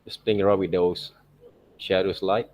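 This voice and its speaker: A man teaching digital illustration in an informal software demonstration, thinking aloud and often checking in with okay and right. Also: low-pitched, little pitch movement, moderate pace.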